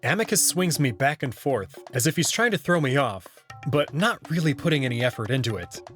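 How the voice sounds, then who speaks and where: A man's voice: mid-range voice, expressive, fairly quick, fairly bright tone, faint music behind. A young man reading scripted first-person narration for a visual novel as its protagonist.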